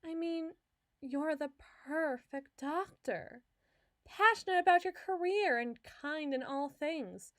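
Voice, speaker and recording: A woman's voice: high voice, slow pace, fairly bright tone, dry recording, studio quality. A woman playing an obsessive, lovesick hospital patient in an ASMR roleplay, talking in a dramatic, coy and wheedling way. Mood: adoring, intense. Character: obsessive, clingy lovesick female patient, theatrical flirt.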